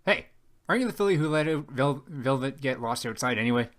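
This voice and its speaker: A man's voice: mid-range voice, animated, brisk, a bit bright, close to an everyday voice. A man playing a bullying, confrontational pony guard with a taunting manner in a dramatic fan audiobook.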